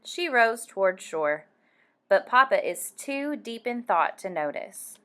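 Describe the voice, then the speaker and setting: A woman reading a picture book aloud for young children, animated and deliberate, acting out the sound effects. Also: mid-range voice, smooth. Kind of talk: expressive read-aloud storytelling for young children.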